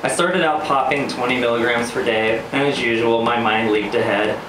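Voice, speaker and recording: A man's voice: medium pitch, moderate pace, background noise. A man performing scripted first-person spoken-word storytelling live, with a wry, sardonic, theatrical delivery. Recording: roomy live venue, distant mic, music. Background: electronic music beats.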